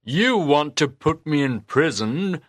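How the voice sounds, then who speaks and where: A man's voice: on the high side, animated, takes it slowly, fairly bright tone, no room sound, clean studio sound, pitched above the performer's own voice. A man voicing a brave, kind village father in a storybook reading, speaking in a defiant, earnest way.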